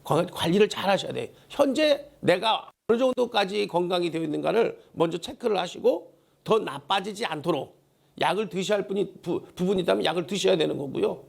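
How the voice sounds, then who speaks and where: Male pastor, high voice, hoarse and gravelly, emphatic sermon delivery with repeated key phrases. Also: expressive, a little fast. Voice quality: hoarse, worn voice. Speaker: pastor preaching a Sunday sermon. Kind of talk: Korean church sermon with call-and-response prompts. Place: church service.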